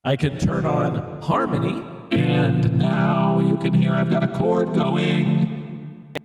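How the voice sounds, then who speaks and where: A man's voice: fairly low pitch, big pitch swings, takes it slowly. A man demonstrating a vocal effects unit, his voice stacked into a chord by a harmony effect. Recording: distant, echoey, long reverb, loud music.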